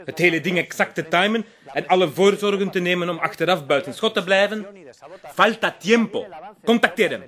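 A man's voice: high voice, fairly quick, a bit bright. An actor in a crime drama playing an impatient male criminal plotter who speaks urgently and slips into Spanish exclamations. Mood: urgent, tense. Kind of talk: Flemish crime drama acting.

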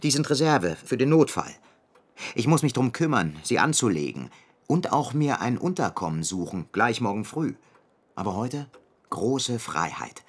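A hearty young clerk in a radio play. His husky voice swings up and down with lively, familiar eagerness. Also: medium pitch, moderate pace. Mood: satisfied, eager. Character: hearty young male law clerk, eager old friend. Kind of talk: German radio drama acting.

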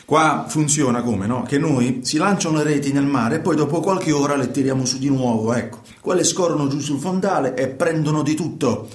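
A man's voice: a little high, lightly acted. Actor as a fisherman, roomy echo. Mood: matter-of-fact, conversational. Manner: colloquial, halting, repeats words and phrases. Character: troubled working fisherman recounting life at sea. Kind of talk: theatrical radio monologue in conversational storytelling.

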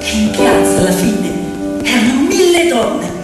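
A woman narrating a story theatrically, in short measured phrases that often repeat. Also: on the high side, sing-song. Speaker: stage storyteller and actress. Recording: very echoey hall, distant, loud music behind.

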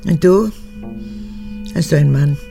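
An actress in a radio drama playing a manipulative, childish old woman in her eighties, an unrepentant former camp guard, with a husky, gravelly voice. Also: low voice, measured pace, over music. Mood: confused, needy. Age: 80s. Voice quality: husky, gravelly, often breaking into whispers. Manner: capricious, swinging between childlike pleading and cold recounting.

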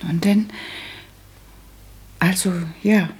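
An actress playing a prim, practical and resolute young woman in a German radio drama, speaking firmly with a husky, creaky voice. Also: mid-range voice, steady tone, drawn-out words, darkish tone, heavily acted. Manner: firm, matter-of-fact.

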